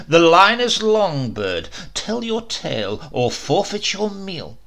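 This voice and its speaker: A man's voice: high voice, wildly swooping pitch, slow, vocal fry, a bit bright, exaggerated voice. A man voicing a stern, impatient young king who gives clipped commands.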